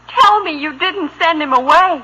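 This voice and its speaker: An actress playing a melodramatic, starstruck American teenage daughter in a 1950s radio sitcom, theatrical and plaintive. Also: female voice, extremely high pitch, lively intonation, moderate pace, heavily acted, rough recording. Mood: melodramatic despair. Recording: bright old radio recording.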